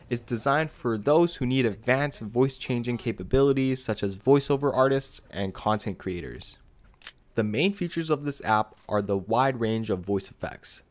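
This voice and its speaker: Man narrating a casual scripted tech review. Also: medium pitch. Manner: casual, addresses viewers directly. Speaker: video creator and presenter. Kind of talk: scripted software review voice-over.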